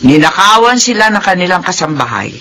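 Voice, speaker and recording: Concerned Filipino mother-in-law, animated delivery. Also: lowish voice, brisk. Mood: concerned, advising. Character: concerned older mother-in-law, protective and practical. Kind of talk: Filipino radio drama acting.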